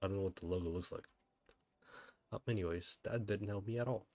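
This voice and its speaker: A man chatting casually as he livestreams a game, thinking out loud and often asking himself questions. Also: low voice, fairly quick, dry recording. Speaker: livestreamer, gamer. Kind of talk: casual livestream chat while playing a game.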